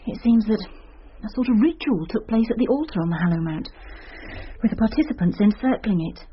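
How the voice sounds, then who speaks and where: A woman's voice: on the high side, a little hiss or hum, natural voice. An actress playing a gossipy, teasing female schoolteacher who confides news in a radio drama. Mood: storytelling. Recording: slightly dull radio recording.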